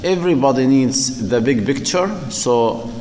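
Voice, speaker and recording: A man delivers a university lecture at a slow, measured pace. He halts often, filling gaps with repeated words. The recording carries a long, echoing reverb. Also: mid-range voice, animated, mellow, slight background noise. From Palestine. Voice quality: slow and halting, many fillers and repeated words.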